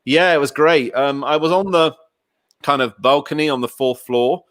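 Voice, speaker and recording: A man's voice: fairly high pitch, normal speed, a bit bright, no room sound, clean studio sound. A man hosting a casual interview, talking in an effusive, rambling way like a keen fan. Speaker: show host interviewer.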